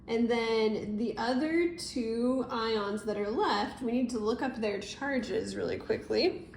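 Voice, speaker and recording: High-voiced woman teaching, roomy sound. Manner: casual, explanatory. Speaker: chemistry teacher. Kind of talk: informal step-by-step tutorial narration. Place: indoor room, at a desk over paper. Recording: roomy echo with noticeable background noise.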